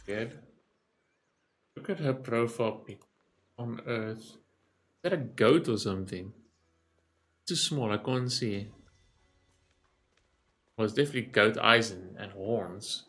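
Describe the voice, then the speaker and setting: A man's voice: on the low side, slow pace, long pauses, a bit bright. A man doing casual live reaction commentary as a gaming streamer, thinking aloud in short remarks. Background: game music.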